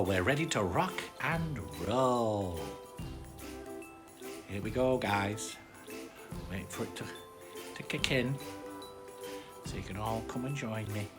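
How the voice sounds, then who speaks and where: A man's voice: medium pitch, lightly acted. A man with a British accent voicing a friendly, chatty armadillo puppet who hosts a cosy children's bedtime story show. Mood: excited, welcoming. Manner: cosy, chatty, repeats phrases. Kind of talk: casual children's livestream chat.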